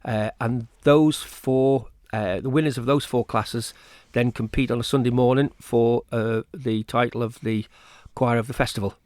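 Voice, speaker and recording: A man's voice: medium pitch, fairly even intonation, moderate pace, hardly any pauses, a little mellow, no echo. A man speaking as an event organiser in an informal radio interview, repeating words hesitantly as he explains. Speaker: choir festival organiser, interview guest.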